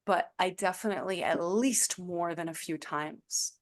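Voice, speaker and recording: A woman leading an online group session over a video call, gentle and encouraging, calling on participants by name. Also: fairly low pitch, a little slow. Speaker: guest speaker leading a session.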